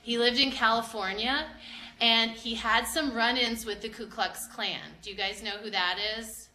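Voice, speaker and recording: A woman's voice: on the high side, steady tone, normal speed. A woman working as an educator, leading an interactive art discussion with children and encouraging them with questions. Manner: encouraging, prompting with questions, repeats answers back. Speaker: museum educator teaching children. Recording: roomy echo, light background noise.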